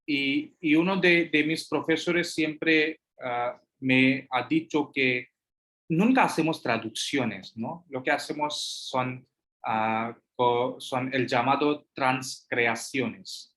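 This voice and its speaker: Male guest lecturer, Hindi native, slow and halting, many fillers. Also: mid-range voice, fairly bright tone. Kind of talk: answering audience questions.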